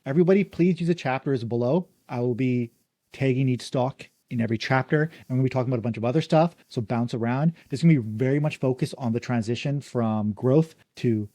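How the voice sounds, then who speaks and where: A man hosting a casual podcast interview, upbeat and quick, often saying "like" and "uh". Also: mid-range voice, dark tone, dry recording. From Canada. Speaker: podcast host.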